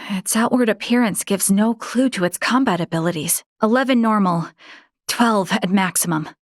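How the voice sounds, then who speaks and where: A woman's voice: mid-range voice, moderate pace, dry recording, close to an everyday voice. Woman acting an earnest young starship officer, close dry sound. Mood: earnest, composed. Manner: formal, earnest, crisply articulated military address. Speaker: game character voice actor. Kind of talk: video game voice acting.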